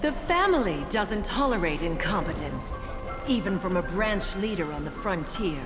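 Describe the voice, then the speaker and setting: A woman playing an imperious, cold and solemn messenger delivering judgment in formal, theatrical game dialogue. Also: mid-range voice. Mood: stern, accusing. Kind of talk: dramatic scripted game dialogue. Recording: echoey hall reverb over music.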